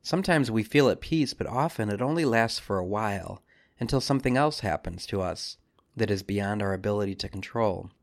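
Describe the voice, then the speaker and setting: A man speaking as a priest, leading a scripted devotional meditation with measured, solemn phrasing and deliberate pauses. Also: lowish voice, dry recording, studio quality.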